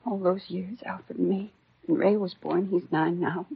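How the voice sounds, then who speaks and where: An actress playing a shaken, devoted American housewife just widowed, speaking politely in short sentences in a 1950s radio drama. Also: female voice, fairly low pitch, steady tone, a little slow, heavily acted. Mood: grieving. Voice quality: husky. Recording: dull 1950s radio recording.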